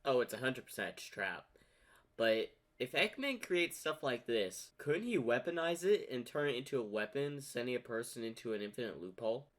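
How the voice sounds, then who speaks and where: A man's voice: medium pitch, very animated, moderate pace, a bit bright. A man hosting a reaction video, talking casually and speculatively, stretching words for emphasis.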